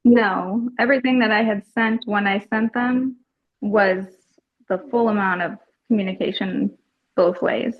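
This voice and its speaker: Woman on the stand, unhurried pace, short formal replies, dull low-fidelity recording. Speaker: witness testifying. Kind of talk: brief formal testimony answers.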